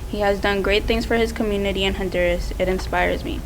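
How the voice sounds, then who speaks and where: A woman's voice: mid-range voice, steady tone, a little fast, noisy background. A teenage young woman reading a prepared personal speech as a student presenter. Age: teens.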